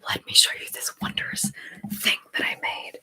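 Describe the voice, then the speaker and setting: A woman hosting a whispered ASMR live chat, reading viewer comments aloud and softly repeating phrases over and over. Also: moderate pace. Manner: reads viewer comments aloud and answers them. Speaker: ASMR livestream host.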